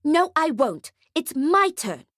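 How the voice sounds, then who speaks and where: A woman playing a shrill, bratty little girl. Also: very animated, a little slow, dry recording, studio quality. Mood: defiant. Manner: shouty, defiant, petulant. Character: bratty, mean, demanding rich little girl.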